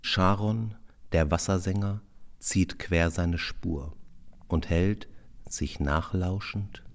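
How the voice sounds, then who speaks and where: A man reciting literary poetry in a measured way, pausing between phrases. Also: low voice, fairly even intonation. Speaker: poetry reader.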